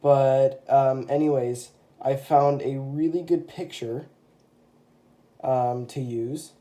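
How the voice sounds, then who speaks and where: A man's voice: mid-range voice, steady tone, slow pace, mellow. A young man with a stuffy, congested voice from a cold, casually showing off a custom toy figure with lots of ums and self-corrections. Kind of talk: casual show-and-tell. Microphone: phone camera.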